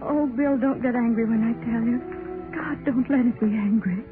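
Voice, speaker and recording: A woman's voice: a little high, moderate pace, phone-quality sound, music in the background, close to an everyday voice. A woman playing a timid, anxious young wife in 1930s radio drama, pleading and hesitant, on a thin old broadcast. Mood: pleading, afraid. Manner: hesitant, pleading, breathlessly emotional. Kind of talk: 1930s radio drama acting, interior monologue.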